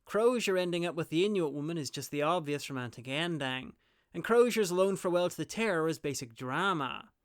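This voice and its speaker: A man's voice: a little high, animated, brisk, clean tone, no echo. A man delivering a scripted review as a video host, with wry, dry asides.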